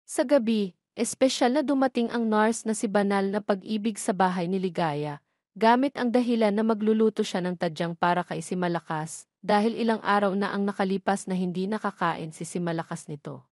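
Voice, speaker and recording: A woman's voice: mid-range voice, moderate pace, a little mellow, no echo, clean studio sound. A woman with a Filipino accent reading a scripted narration for an audio drama love story.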